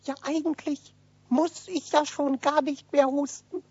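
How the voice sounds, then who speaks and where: A cheeky little kobold boy speaks high and slow in a dry, dull old radio play recording. The delivery is playful and full of swoops, drawing words out with relish. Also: fairly even intonation, a little mellow, dry recording. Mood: cheerful, relieved. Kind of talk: German children's radio play.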